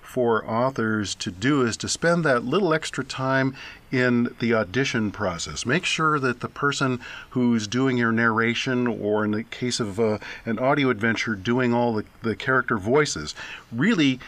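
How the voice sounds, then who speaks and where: A man's voice: mid-range voice, a little slow, fairly bright tone. A man, an author guest, giving explanatory answers in a radio interview.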